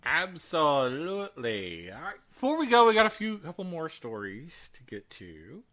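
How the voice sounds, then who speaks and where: A man's voice: fairly high pitch, sing-song, moderate pace, fairly bright tone. A man co-hosting a casual podcast, emphatic and fond of repeating phrases for emphasis. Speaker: podcast co-host. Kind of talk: casual horror news chat.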